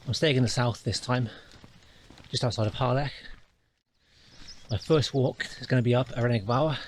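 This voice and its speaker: A man's voice: medium pitch, normal speed, slight background noise. A man narrating his hike for a walking vlog, thinking aloud with small restarts, recorded on a camera mic outdoors. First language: not a Welsh speaker. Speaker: hiking vlogger. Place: outdoors on a hillside in the countryside.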